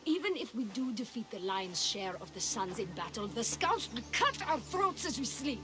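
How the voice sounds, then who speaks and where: A woman's voice: a little high, big pitch swings, moderate pace. A woman playing a bold, fierce young warrior from a Greek village in a video game cutscene. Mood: urgent. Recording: loud music behind the voice.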